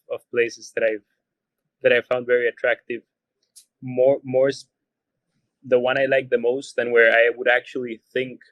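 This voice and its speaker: A man's voice: mid-range voice, a bit bright, no room sound, rough recording. A man from Costa Rica with a Spanish accent, answering interview questions thoughtfully and often repeating words as he searches for phrasing. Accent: Costa Rican Spanish. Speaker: podcast guest, hotelier.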